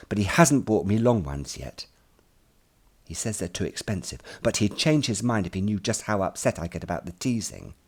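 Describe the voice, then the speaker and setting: A man's voice: low voice, breathy edge, heavily acted. A man reading an audiobook as an anxious, earnest ten-year-old schoolboy telling his worries in the first person. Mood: fretful, upset. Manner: earnest, fretful, expressive storytelling. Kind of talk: first-person audiobook narration in present tense.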